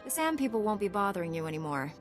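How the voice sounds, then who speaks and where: A woman voicing a calm, solemn and selfless mystic knight in a video game, speaking formally. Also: medium pitch, fast, long reverb tail, faint music behind, lightly acted. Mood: calm, helpful.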